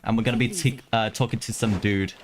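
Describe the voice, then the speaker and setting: A man's voice: fairly low pitch, steady tone, fast, a little hiss or hum. A man giving casual, slangy live gameplay commentary as a streamer, grumbling and talking back to the game. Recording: game music behind the voice.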